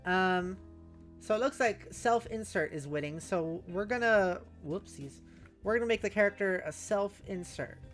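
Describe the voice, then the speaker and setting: A woman streamer chatting casually with viewers, giggly and halting as she thinks aloud and reads out messages. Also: mid-range voice. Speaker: streamer, host. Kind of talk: casual livestream chat, reading out viewer messages. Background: music.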